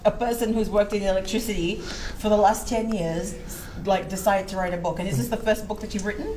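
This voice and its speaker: A woman's voice: mid-range voice, steady tone, fast talker, a little mellow, low-quality recording. A young woman co-hosting an interview in a chatty, informal way. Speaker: interview co-host. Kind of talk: casual chat interview.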